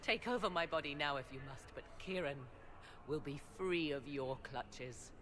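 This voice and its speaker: A woman's voice: low-pitched, moderate pace, fairly bright tone, slight background noise. A woman playing a proud, defiant sorceress and protective mother in a video game, haughty and emphatic. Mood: fierce, resolute. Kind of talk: dramatic video game acting.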